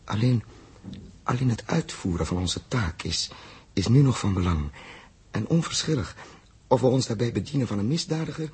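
An actor playing an earnest, resolute spaceship captain with a husky voice and weighty, deliberate delivery in a 1960s radio drama. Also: male voice, mid-range voice, expressive, darkish tone. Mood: solemn resolve. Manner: weighty, deliberate, halting over phrases. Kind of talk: 1960s Dutch science fiction radio drama acting.

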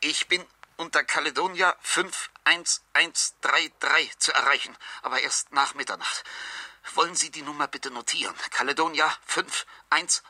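An actor playing an earnest, insistent chemist with grave news in a radio thriller, speaking formally and deliberately. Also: male voice, fairly high pitch, sharp tone, dry recording. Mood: precise, formal. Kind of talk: 1970s German radio thriller acting.

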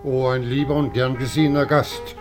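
A man's voice: medium pitch, flat delivery, normal speed, over music, lightly acted. An actor playing a jovial, chatty man who gossips in an ingratiating, folksy way, in 1950s radio drama style. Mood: jovial, insinuating.

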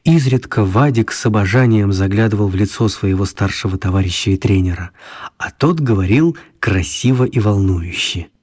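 A man narrating a children's radio story in an expressive, mock-grand dramatic style. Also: on the low side, moderate pace. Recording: clear background noise and ambience.

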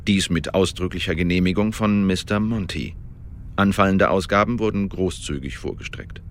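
An actor playing an Elizabethan secret agent who gives a precise, measured official report in a radio drama monologue. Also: male voice, on the low side, a little hiss or hum, close to an everyday voice.

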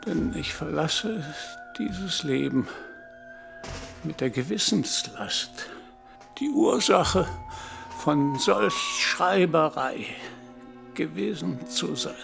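A male actor in a radio play voicing a dying old nobleman, solemn and contrite, with a gravelly, halting, formal delivery. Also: a little high, expressive, music in the background, full character voice. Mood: remorseful, fading. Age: older. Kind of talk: literary radio drama acting.

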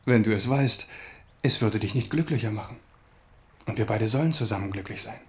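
An actor playing a gentle young prince in love in a radio play, speaking softly and romantically in measured phrases. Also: male voice, fairly low pitch, close to an everyday voice. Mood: tender, reassuring. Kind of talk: German radio play acting.

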